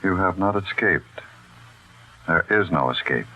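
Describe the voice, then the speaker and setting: An actor playing a concerned, no-nonsense military major, firm and urgent, in an old-time radio drama. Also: male voice, deep voice, lively intonation, a little slow, sharp tone, full character voice. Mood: grave. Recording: muffled, noisy old radio recording.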